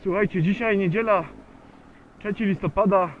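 A man's voice: sits high, moderate pace. Guy on a motorbike chatting, lots of umms, thin phone-ish helmet mic sound. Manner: chatty, hesitant with many fillers. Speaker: motovlogger. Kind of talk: casual riding vlog narration. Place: on a motorcycle riding on a road outdoors. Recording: tinny lo-fi helmet mic with wind and engine noise.

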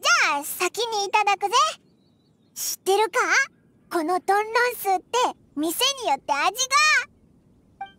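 A woman voicing a small, childlike fairy companion in a video game, with a squeaky voice and blunt, cheeky, chatty manner. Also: moderate pace, pauses often. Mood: cheerful, chatty. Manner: blunt, boyish, familiar speech.

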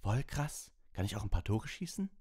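A man voicing a sulky, excitable young teenage boy in an audiobook, with a plaintive boyish manner. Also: fairly low pitch, moderate pace, husky, pitched above the performer's own voice. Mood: excited. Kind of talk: audiobook character voice.

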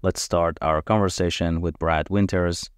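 A man whose first language is Farsi, hosting a podcast and giving an earnest, measured introduction. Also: deep voice, fairly even intonation, smooth, no room sound, studio quality. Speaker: podcast host.

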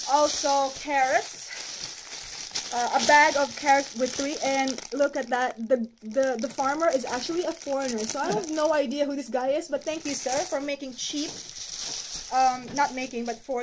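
A woman's voice: sits high, normal speed. A woman in her thirties from the Philippines, chatting casually to a phone camera as she unpacks groceries in a small apartment kitchen. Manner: chatty, holds items up to the camera. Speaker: vlogger. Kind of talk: casual chat. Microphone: phone camera at arm's length. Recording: noisy phone recording.

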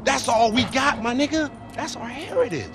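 An actor playing a brash, boastful young gang member in a video game. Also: male voice, very high voice, moderate pace, music in the background. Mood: preachy, accusing. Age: young adult. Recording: clearly noisy background.

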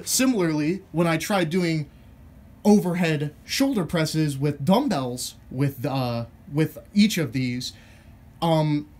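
A man with a fairly high-pitched voice gives a casual product comparison. He speaks informally, like someone explaining gear to viewers from a room at home. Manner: informal, mildly profane. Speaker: fitness product reviewer.

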